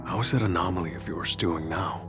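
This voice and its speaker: Guy voicing chill gruff game dude, deep voice, low-key, music behind him. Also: fairly quick, close to an everyday voice. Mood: casual, concerned. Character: laid-back, gruff, helpful adult male guide. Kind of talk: video game voice acting, English dub. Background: background music.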